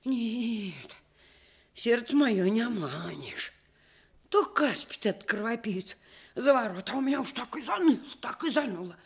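A woman's voice: a little high, sing-song, normal speed, husky, full character voice. An actress playing a doting, scolding old grandmother in a radio play, with rustic speech and a folksy, fussing manner. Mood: agitated scolding.

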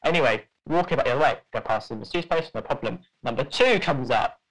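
A man's voice: mid-range voice, lively intonation, fast, a bit bright, low-quality recording. Young man rambling through a story. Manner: rambling, many fillers and restarts, laughs at own story. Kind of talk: casual podcast storytelling.

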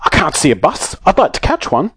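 A man voicing a muddled, anxious, peculiar little man in a children's storybook, excitable and yelping. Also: a little high, brisk, breathy edge, dry recording, studio quality, voice pushed up, full character voice. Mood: puzzled.

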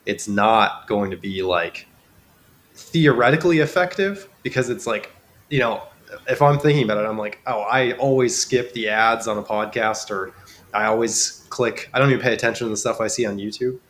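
A man's voice: fairly low pitch, moderate pace, a bit bright. A man with a nasal, congested voice from a cold, chatting casually and dryly as a podcast guest. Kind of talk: casual chat. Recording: clearly noisy background.

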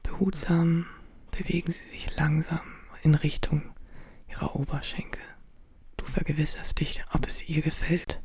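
A woman in a radio play whispering slow, soft, intimate instructions. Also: low-pitched, flat delivery, breathy edge. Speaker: performer in an audio play.

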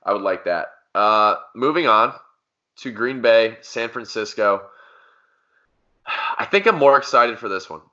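A man's voice: fairly low pitch, animated, measured pace, long pauses, pushed and bright. A man hosting a podcast, talking sports casually with friends. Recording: dull, band-limited podcast audio.

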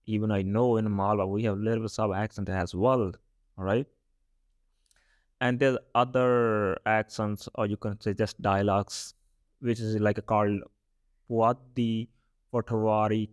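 A young man with a Punjabi accent teaches in a casual, chatty way, often checking in with the listener. His voice sits a little on the low side. The sound is dry and close, with almost no room sound. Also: no room sound. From Punjab, India. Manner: chatty, often adds "right?" and "all right?". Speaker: podcast host teaching Punjabi. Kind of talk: casual spoken lesson.

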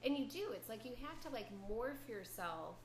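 A woman's voice: on the high side, very animated, normal speed. A woman hosting a podcast in casual chat, bubbly and gushing, with some swearing. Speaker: podcast host. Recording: echoey room with faint noise.

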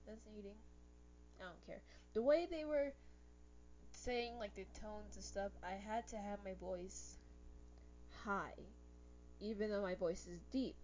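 Slightly hoarse young woman podcaster, rambling. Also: mid-range voice, a little slow, long pauses, darkish tone, dull audio, soft background music. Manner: rambling, self-correcting, exasperated ranting. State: losing her voice, slightly hoarse. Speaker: podcast host. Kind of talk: casual podcast chat.

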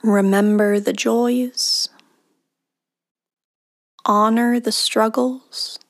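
A woman leading a guided meditation in a soothing, unhurried manner, with long pauses and soft, breathy phrase endings. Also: a little high, fairly even intonation. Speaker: meditation guide, podcast host.

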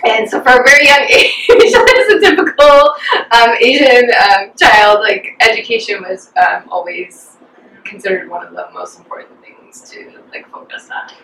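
A woman's voice: on the high side, moderate pace, pushed and bright. A young American woman, an interview guest, chatting reflectively about her life with many fillers. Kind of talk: casual personal storytelling. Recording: roomy echo, distant from the mic.

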